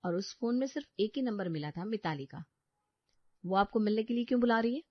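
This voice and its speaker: A woman acts out a troubled young wife in a cartoon story, serious and weary, full of questions. Her voice sits at a medium pitch in a dry, slightly muffled recording. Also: animated, a little fast, no echo, lightly acted. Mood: suspicious. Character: troubled, suspicious young married sister-in-law.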